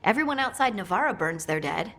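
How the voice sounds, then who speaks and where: A woman's voice: mid-range voice, animated, fairly quick, a bit bright, faint music behind. An actress playing an informal, teasing, inquisitive young woman in a video game. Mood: questioning. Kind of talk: video game character acting.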